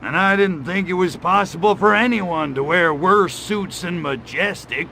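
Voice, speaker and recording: Cocky gravelly game alien, slow sarcastic drawl, trigger happy, some noise behind. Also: male voice, high-pitched, bright, forceful tone, heavily acted. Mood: cocky, joking. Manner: sarcastic, smart-alecky drawl. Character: cocky, wisecracking male alien invader, crude and trigger-happy. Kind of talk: video game cutscene acting.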